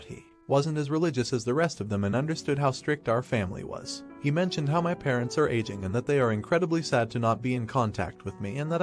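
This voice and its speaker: A man reading a first-person online story aloud as the narrator of a story channel, in a quick, even delivery. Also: mid-range voice.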